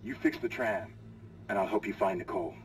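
A man's voice: lowish voice, a little fast, background noise, close to an everyday voice. A man acting a commanding, urgent spaceship officer in a video game.

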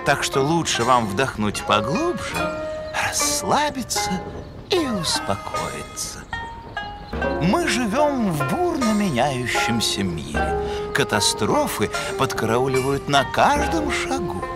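Pompous elk scientist, slow, swoopy, loud music underneath. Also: male voice, mid-range voice, huge pitch swings. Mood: lofty. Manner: pompous, lecturing. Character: pompous male elk scientist, lofty mentor. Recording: cartoon soundtrack with loud music.

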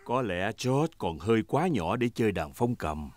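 Narrator, children's cartoon dub; animated, playful telling over bright music. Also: male voice, on the low side, moderate pace. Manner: gentle, storybook telling.

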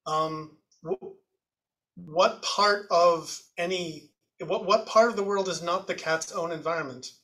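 A man with a high, bright voice puts a question on a video call. His delivery is halting, as a panelist thinking aloud. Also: rough recording.

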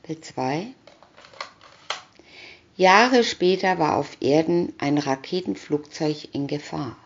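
A woman reading a scripted children's comic story aloud, deliberately, with pauses between captions. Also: sits low. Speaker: reader of picture-story booklets. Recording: dull lo-fi recording.

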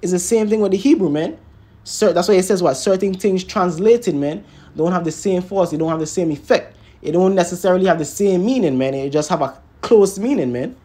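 A man's voice: sits high, brisk, mellow. A man teaching scripture in an emphatic, informal way, peppering his talk with tag words and rhetorical questions. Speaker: religious teacher.

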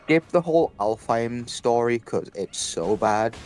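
A man's voice: mid-range voice, lively intonation, measured pace, a little mellow. A young man with a stuffy nose, chatting casually and enthusiastically with friends while playing a game. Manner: enthusiastic, jumps between topics. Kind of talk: casual chat.

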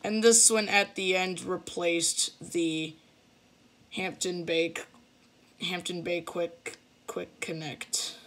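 A man's voice: high voice, flat delivery, sharp tone. A young man giving a casual, hesitant walkthrough of his game build while filming the screen with a phone. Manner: slow, hesitant, with fillers. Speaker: gamer showing a game build. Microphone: phone filming a computer screen.

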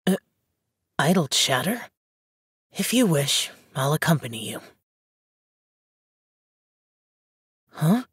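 A brooding young male demon hunter from a video game. The voice is low and husky, recorded close and dry. He speaks in reserved, solemn, formal phrases, keeping everyone at a distance. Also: no echo. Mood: reluctant. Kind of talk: English video game character dialogue.

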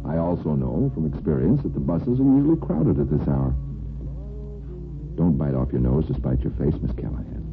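Very low and lively, this is a suave, persistent gentleman suitor from an old radio soap. He teases with courtly polish. The sound is thin, narrow vintage radio with faint music. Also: normal speed, gravelly, full character voice. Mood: teasing, persistent. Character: smooth, persistent male neighbor courting a nurse.

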